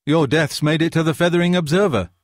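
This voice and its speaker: A blunt pub landlord with a beard, as a male narrator puts him on, gruff and quick to fly into a rant. His voice sits above the reader's own, in a dry, close recording. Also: fairly high pitch, dry recording, pitched above the performer's own voice, natural voice. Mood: teasing. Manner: gruff, quick-tempered ranting.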